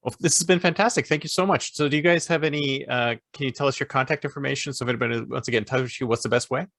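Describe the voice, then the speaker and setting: Upbeat podcast host with a dry sound. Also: male voice, medium pitch, a bit bright, no room sound. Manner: upbeat, quick praise and encouragement. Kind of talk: casual interview chat.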